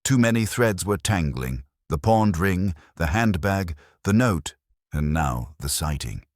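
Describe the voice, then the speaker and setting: Male narrator for a scripted mystery: extremely low pitch, animated intonation. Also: a little slow, dry recording, studio quality.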